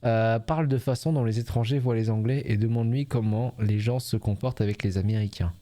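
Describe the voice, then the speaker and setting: A man's voice: medium pitch, quick pace, mellow. Joking French man streaming a game. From France. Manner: jokey, teasing, chatty. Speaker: streamer playing a dating game. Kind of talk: casual live game commentary.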